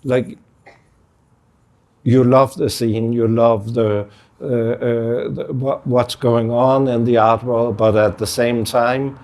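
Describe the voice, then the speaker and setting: A middle-aged man, speaking as an artist in a relaxed conversation and pausing often to search for words. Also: medium pitch. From Denmark. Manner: halting, searching for words with many fillers. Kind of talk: casual conversation.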